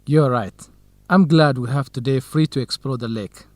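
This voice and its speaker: Man playing gentle young male researcher, educational radio drama, middle-pitched, measured, close dry sound. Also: dry recording, natural voice. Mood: friendly, agreeable. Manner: measured, simple phrasing for English learners. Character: kind, modest young Ethiopian male researcher.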